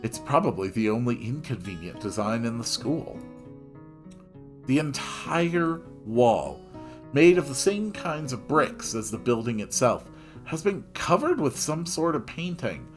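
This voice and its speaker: A man reading a story aloud in an expressive narrating style. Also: mid-range voice, a little slow, faint music behind.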